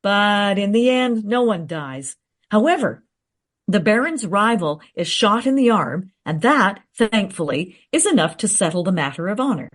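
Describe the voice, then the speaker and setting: An actress playing a confident, eager researcher in a radio play, recounting history in a teasing, matter-of-fact way. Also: female voice, mid-range voice, sing-song, moderate pace. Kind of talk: radio play dialogue, storytelling.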